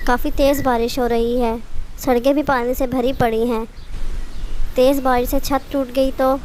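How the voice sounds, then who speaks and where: Female actor as young cartoon bird wife, high-pitched, worried, pleading, faint background noise. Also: level tone, normal speed, darkish tone, lightly acted.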